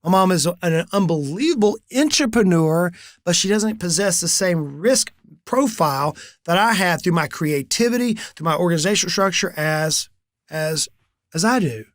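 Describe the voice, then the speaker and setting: A man's voice: sits high, expressive, normal speed, fairly bright tone, no room sound, studio quality. A man in his forties hosting a business coaching talk, telling stories energetically and acting out the conversations he quotes. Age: 40s. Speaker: business coach and channel host. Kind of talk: animated solo talk to camera.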